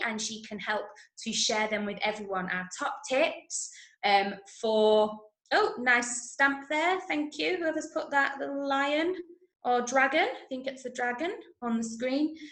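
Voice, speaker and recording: A woman with an English accent leading an online drama workshop for children, giving clear instructions and often checking in. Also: fairly high pitch, measured pace, a bit bright. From Manchester, England. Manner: clearly instructional, frequent check-ins with okay and right. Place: indoors, online video session, roomy sound. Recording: roomy echo on online call.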